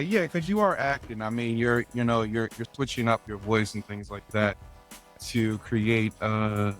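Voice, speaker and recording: A man hosting an interview, asking long, rambling questions in a casual and affirming way. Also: lowish voice. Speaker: host.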